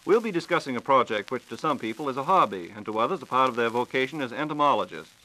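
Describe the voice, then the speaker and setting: A man's voice: medium pitch, lively intonation, fast, bright, forceful tone, low-quality recording. An American man hosting a scripted 1940s radio science program with a playful, theatrical announcer delivery. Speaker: radio host interviewing a science reporter. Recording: 1940s radio broadcast recording.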